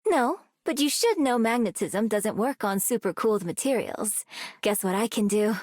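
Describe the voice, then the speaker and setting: Higher-pitched, cheeky young heroine. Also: female voice, expressive, moderate pace, darkish tone, dry recording, clean studio sound. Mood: playful, cocky. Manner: playful, teasing. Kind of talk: video game character voice lines.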